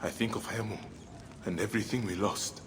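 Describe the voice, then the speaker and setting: An actor as a grieving warrior of ancient Egypt, weighty and earnest in a lowish voice. His game dialogue sits in clear ambient noise. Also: fairly even intonation, fairly quick, close to an everyday voice. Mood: sorrowful. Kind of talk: dramatic video game acting.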